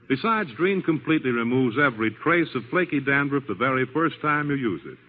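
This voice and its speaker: A man's voice: mid-range voice, slight background noise. An American man announcing in a polished, scripted 1940s radio commercial style. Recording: thin, narrow old 1940s radio broadcast.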